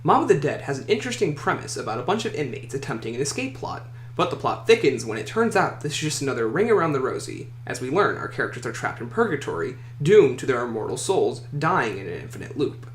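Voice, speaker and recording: A man's voice: mid-range voice, sing-song, quick pace, few pauses. A man hosting a countdown list video, reading scripted commentary and opinion. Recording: echoey room, faint noise.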